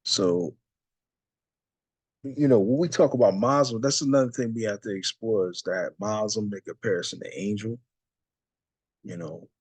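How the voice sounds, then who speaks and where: A man's voice: fairly low pitch, normal speed, long pauses, a little mellow, husky, no echo. A man teaching a religious study session in a loose, conversational way, full of fillers and asides. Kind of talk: casual religious study talk.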